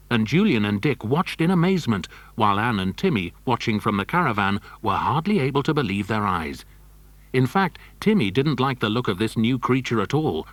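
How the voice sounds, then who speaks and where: A man's voice: fairly low pitch, dry recording. A man narrating a children's adventure story from a script.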